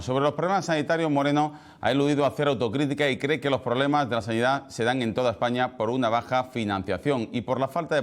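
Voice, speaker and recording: A male television news presenter with an Andalusian accent, reading scripted headlines in a measured, emphatic way. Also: medium pitch.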